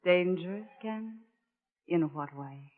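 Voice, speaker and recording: A woman's voice: on the low side, takes it slowly. A cool femme fatale in a vintage radio thriller. She is coy and insistent. The recording is thin and tinny. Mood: coy flirting. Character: flirtatious, bold woman reporter, cool femme fatale. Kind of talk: 1940s radio acting.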